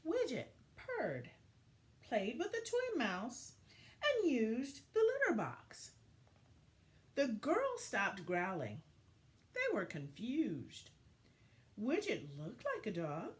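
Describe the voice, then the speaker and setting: A woman teacher giving an expressive read-aloud lesson for young children, with deliberate, dramatic phrasing. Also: fairly high pitch.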